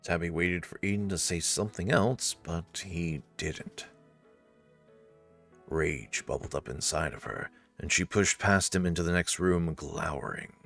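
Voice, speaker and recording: A man's voice: low-pitched, a little slow, gravelly, fairly bright tone, faint music behind. A man narrating a visual novel story, slipping in wry, informal asides as commentary.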